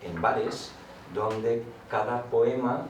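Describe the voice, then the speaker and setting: A man's voice: medium pitch, drawn-out words. An older man from Asturias with a Castilian Spanish accent, a poet talking in a reflective, digressive, conversational way. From Asturias, Spain, in Madrid from age eight. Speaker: poet, guest speaker. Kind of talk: conversational storytelling with recited verse. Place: school classroom, talk to teachers. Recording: echoey room, distant mic, noisy.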